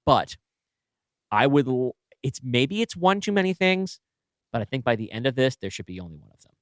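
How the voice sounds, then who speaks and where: A man co-hosting a podcast, brainstorming casually and thinking aloud in halting, self-correcting bursts. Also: medium pitch, sing-song, no room sound, clean studio sound. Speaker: podcast co-host and writer.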